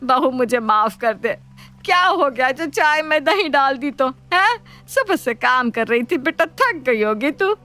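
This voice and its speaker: A woman's voice: high voice, very animated, measured pace, bright, forceful tone, heavily acted. A woman voicing a gluttonous, bossy mother-in-law in a cartoon story, dramatic, whiny and wheedling. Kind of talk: animated Hindi moral-story cartoon.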